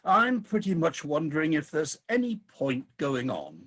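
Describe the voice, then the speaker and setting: Guy doing old king of the gods, fed up and grumbly, husky, swoops up and down, radio comedy. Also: on the high side, sing-song, a little slow, a bit bright, full character voice. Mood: disillusioned, exasperated. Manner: theatrical, grumbling, world-weary. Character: weary, disillusioned ageing male king of the gods. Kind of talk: comic radio play acting.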